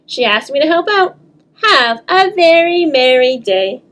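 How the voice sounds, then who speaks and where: A woman voicing an overworked, polite Christmas elf from a storybook, reading his letter in an expressive, deliberate way. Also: sits high, pitched above the performer's own voice, heavily acted. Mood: relieved, polite.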